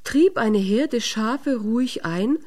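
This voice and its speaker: A woman narrator reading a scripted fairy tale in a measured storytelling manner. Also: a little high, lively intonation.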